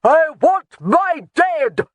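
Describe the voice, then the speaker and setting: The audiobook narrator voicing a gruff old male Highland chieftain who roars every word. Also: moderate pace, no echo, close to an everyday voice. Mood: roaring. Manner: roaring, shouting every word.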